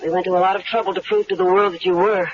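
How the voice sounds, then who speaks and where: An actor playing a dry, sardonic partner in a 1970s radio drama. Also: male voice, high voice, little pitch movement, racing, close to an everyday voice. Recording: dull hissy old radio broadcast.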